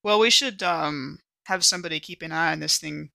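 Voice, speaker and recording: A woman chatting casually as a player in a tabletop role-playing game, animated and a bit halting, repeating words as she thinks. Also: low voice, gravelly, no room sound. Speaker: player in a tabletop RPG podcast. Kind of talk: casual improvised game chat.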